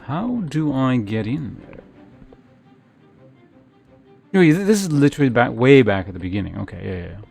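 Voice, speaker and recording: A man streams a video game in a medium-pitched voice with plenty of vocal fry. He talks casually and haltingly, working things out aloud and letting sentences trail off. Faint music plays underneath. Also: animated, darkish tone. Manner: hesitant, thinking aloud with trailing half-sentences. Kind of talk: casual livestream gameplay chat.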